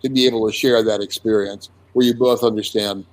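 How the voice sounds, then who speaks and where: An older man in his seventies, a comic artist recalling his early career in a relaxed interview, unhurried with frequent restarts. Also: on the low side, rough recording. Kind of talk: casual conversational interview.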